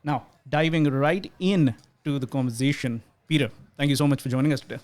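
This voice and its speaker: Male podcast host, earnest, rambly, lots of fillers, ordinary mid pitch. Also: a little fast, a little mellow. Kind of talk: interview podcast intro and questions.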